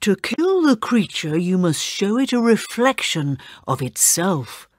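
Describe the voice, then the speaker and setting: Old village priest, voiced by a woman: wise, measured storybook elder, slow and a touch husky. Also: mid-range voice, big pitch swings, no echo, studio quality. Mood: grave, advising.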